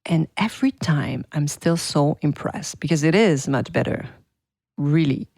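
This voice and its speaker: A woman's voice: deep voice, animated, a little slow, vocal fry, a little mellow, breathy edge, no room sound, clean studio sound. A woman with a French accent hosting her own podcast, talking to listeners in an enthusiastic, chatty way. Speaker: podcast host and PR teacher. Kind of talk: casual solo podcast talk.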